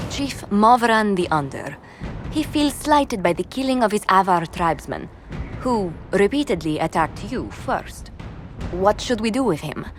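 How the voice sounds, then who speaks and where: A woman voicing a poised, businesslike advisor in a fantasy video game, with a measured, formal delivery. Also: medium pitch. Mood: bemused. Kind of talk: video game cutscene briefing.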